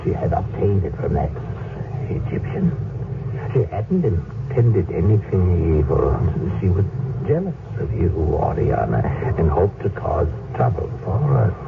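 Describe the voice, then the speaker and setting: A grieving Roman father in an old radio drama, speaking very deep and halting. His words come slow and solemn. The sound is narrow and phone-like, buried in hiss. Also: sing-song, creaky, exaggerated voice. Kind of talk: 1970s radio drama acting. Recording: tinny, hissy old radio broadcast.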